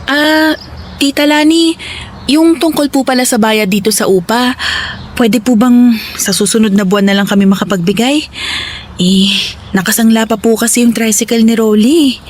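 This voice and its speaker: A young Filipino mother acted by a woman, polite and grateful, with a fairly high voice. She speaks earnestly and humbly in a radio drama, over background ambience. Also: moderate pace, long pauses, slight background noise, close to an everyday voice. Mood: humble, hopeful. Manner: deferential, earnest. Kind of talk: Tagalog radio drama acting.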